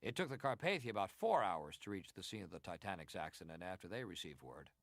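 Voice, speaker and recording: An actor playing a senator who questions a witness formally and deliberately in a dramatized historical hearing. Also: male voice, deep voice, very animated, creaky, no room sound, clean studio sound, exaggerated voice. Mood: formal, probing. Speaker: actor reading hearing testimony.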